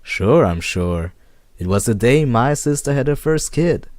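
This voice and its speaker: A man's voice: medium pitch, expressive, measured pace, a little mellow, dry recording, studio quality, voice pushed up, lightly acted. A man voicing a plain-spoken bartender from a noir story, with a folksy, colloquial way of talking. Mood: insistent.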